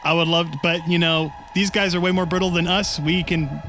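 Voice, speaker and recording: A man's voice: a little high, steady tone, a little fast, noisy background. A man playing a tabletop role-playing game, talking informally and thinking aloud about his next move. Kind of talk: casual game-table chat. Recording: loud music bed behind the voice.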